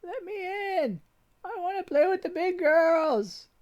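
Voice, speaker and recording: A reader doing a delirious dying young woman, childlike, tearful, very high and sing-song, soft music behind. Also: expressive, takes it slowly, heavily acted. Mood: delirious pleading. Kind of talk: audiobook character voice.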